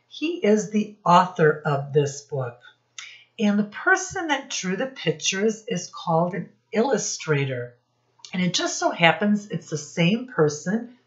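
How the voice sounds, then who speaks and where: A middle-aged woman giving a read-aloud lesson to young children, speaking deliberately and instructively as their teacher. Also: fairly low pitch, lo-fi sound. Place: small indoor room with posters.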